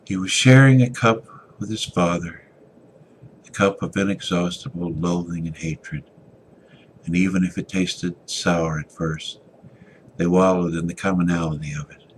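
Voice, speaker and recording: A man's voice: sits low, a little slow, slight background noise, rough recording. A man narrating a short story as a dramatic reading, with a theatrical delivery.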